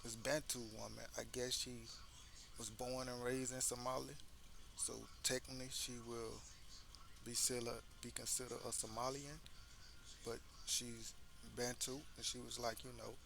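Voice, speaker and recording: A man's voice: medium pitch, creaky, noisy background. A man hosting a casual commentary show, speaking loosely with plenty of fillers as he sums things up for his viewers. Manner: loose, filler-heavy talk with lots of "you know". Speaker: host.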